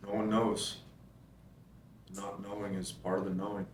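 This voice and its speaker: A calm, steady man speaking quietly, read by an actor at a table read. His voice is fairly low and set back from the mic, with room echo and a faint rumble behind it. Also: measured pace, distant mic, close to an everyday voice.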